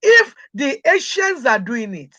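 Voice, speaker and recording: A woman's voice: high-pitched, sing-song, unhurried, pushed and bright. A middle-aged Nigerian woman with a Nigerian accent, speaking as an interview guest, emphatic and proud, repeating words for stress. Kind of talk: interview conversation.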